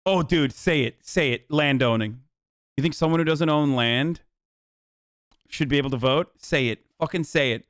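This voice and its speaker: A man streaming live reaction commentary, exasperated, argumentative and quick to swear. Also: on the high side, fairly bright tone, no room sound, studio quality. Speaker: streamer host.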